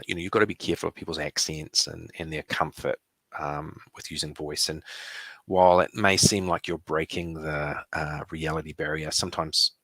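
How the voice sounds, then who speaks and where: A man with a New Zealand accent giving a conference presentation, relaxed, with many fillers and restarts. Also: extremely low pitch, creaky, no room sound.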